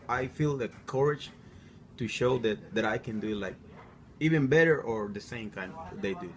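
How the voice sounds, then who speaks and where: A man from Honduras, with Spanish as his first language, haltingly recalls being laughed at while learning English. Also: lowish voice, a little hiss or hum. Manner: halting, searching for words in a second language. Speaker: interviewee.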